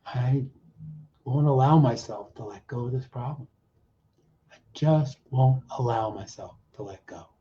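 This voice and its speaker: A man working as a coach, leading a guided self-help exercise in short, deliberate, repeated phrases with pauses between them. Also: mid-range voice, mellow. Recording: dull, muffled lo-fi audio.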